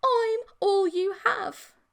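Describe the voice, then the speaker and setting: A woman voicing an enraged, possessive siren girlfriend in an audiobook, yelling with scornful, desperate manipulation. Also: very high-pitched, extremely slow, creaky, voice pushed up, heavily acted. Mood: cruel scorn. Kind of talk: dramatic audiobook character voice.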